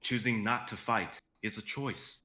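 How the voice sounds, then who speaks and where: Man playing a proud, bright-voiced god of strength. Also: on the low side, unhurried, echoey room. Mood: reassuring.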